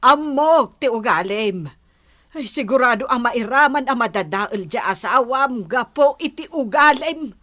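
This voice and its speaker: An elderly Ilocano mother in a radio drama. Her high, bright voice moves slowly through worried scolding and drawn-out admonishments. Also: no room sound, studio quality, exaggerated voice.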